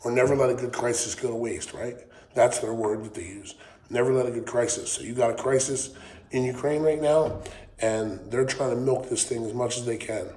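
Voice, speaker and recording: A man's voice: mid-range voice, a little fast, warm tone. A man, hosting his own channel, talking casually in a weak, recovering voice, rambling with lots of ums and the odd swear. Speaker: channel host. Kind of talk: casual political rant to camera. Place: wood shop. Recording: echoey workshop with faint noise.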